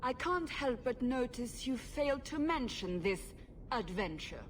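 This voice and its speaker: An actress playing a coolly suspicious interrogator in a video game, speaking formally and with pointed, measured words. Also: female voice, fairly high pitch, sing-song, a little hiss or hum. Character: stern, probing woman interrogator, coolly suspicious.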